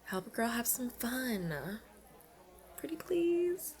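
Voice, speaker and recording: A woman's voice: a little high, big pitch swings, takes it slowly. A woman playing a playful, pleading young alien princess at college in an ASMR roleplay, chatting and coaxing the listener directly. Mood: teasing, pleading.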